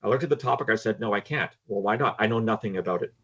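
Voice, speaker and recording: A man's voice: fairly low pitch, rapid-fire, a bit bright, breathy edge, dull audio. An older man, speaking frankly and emphatically as a guest in a casual panel discussion. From Canada. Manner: emphatic, frank, repeats words when starting. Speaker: guest, former intelligence analyst.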